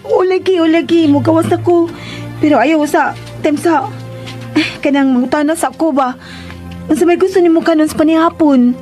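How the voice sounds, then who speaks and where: A woman's voice: sits high, moderate pace, darkish tone, faint music behind, natural voice. A woman playing a chatty, deferential young maid with a Filipino accent in a radio drama, hesitant and whiny as she pleads. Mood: flustered, coaxing.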